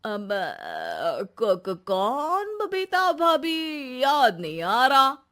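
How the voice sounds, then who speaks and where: Cartoon husband, played by a woman: smitten, fawning, easily flustered, stammers, unhurried pace. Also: high-pitched, wildly swooping pitch, fairly bright tone, exaggerated voice. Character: flustered, flirtatious middle-class husband, a fawning man. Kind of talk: Hindi cartoon comedy acting.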